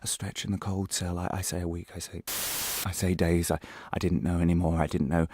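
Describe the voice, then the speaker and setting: A man's voice: deep voice, level tone, noisy background, close to an everyday voice. A man playing a bitter, anguished prisoner held without trial, rambling and questioning in a radio drama monologue. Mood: anguished, haunted. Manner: halting, repetitive, questioning, swinging into angry outbursts.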